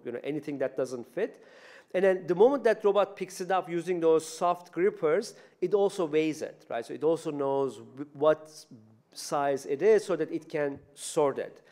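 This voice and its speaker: A man's voice: a little high, darkish tone. A man in his fifties with a Turkish accent, giving a public talk at a podium mic in a university lecture room, speaking conversationally with many fillers and restarts. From Turkey. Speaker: robotics professor giving a talk. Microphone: podium microphone.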